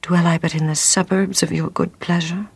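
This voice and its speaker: A woman's voice: fairly low pitch, fairly even intonation, normal speed, darkish tone, slight background noise, natural voice. An actress playing a devoted Roman noblewoman pleading with her husband in a classical radio drama, with urgent verse delivery.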